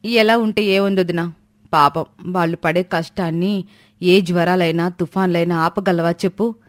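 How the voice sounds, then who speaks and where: A woman's voice: fairly low pitch, steady tone, normal speed, dry recording, clean studio sound, lightly acted. A woman voicing a caring, long-suffering housewife in a family storybook tale. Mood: sympathetic.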